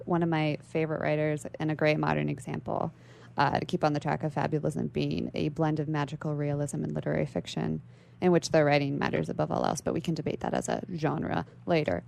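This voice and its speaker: A woman's voice: low-pitched, flat delivery, brisk, creaky, mellow. A woman speaking as an interview guest in a literary radio discussion, articulate and bookish.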